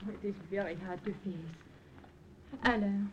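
A woman's voice: mid-range voice, steady tone, measured pace, close to an everyday voice. Passionate woman spy played by an actress, muffled recording. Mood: sorrowful. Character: passionate, secretive French woman spy in love. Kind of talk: 1940s-50s radio acting, melodramatic. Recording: dull, faintly noisy old radio recording.

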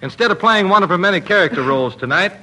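Man, jovial slangy radio host, high and bright, vintage recording. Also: lively intonation, racing. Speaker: show host. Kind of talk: 1940s radio comedy hosting. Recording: 1940s radio broadcast recording.

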